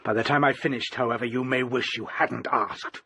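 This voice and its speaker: An actor playing an agitated, obsessed English doctor in a radio drama, with formal, dramatic delivery. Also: male voice, medium pitch, steady tone, normal speed, sharp tone, husky. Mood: grave, confiding. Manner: formal, dramatic, urgent pleading and grave storytelling. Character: agitated, desperate male doctor obsessed with an occult book. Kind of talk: British radio drama acting.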